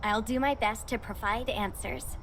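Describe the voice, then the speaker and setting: A woman's voice: fairly high pitch, steady tone, moderate pace, fairly bright tone, a little hiss or hum, close to an everyday voice, poor audio. A woman playing a polite, studious researcher in a video game, explaining things formally and helpfully. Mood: courteous. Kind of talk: scripted video game voice acting.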